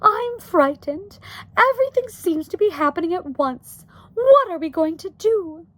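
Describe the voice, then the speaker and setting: A woman's voice: high-pitched, very animated, unhurried, fairly bright tone, voice pushed up, full character voice. A woman reading a storybook aloud, voicing an anxious, tearful mother with a whimpering, scolding, folksy way of talking. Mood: tearful, frightened. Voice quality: whimpering, wailing. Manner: folksy ungrammatical speech.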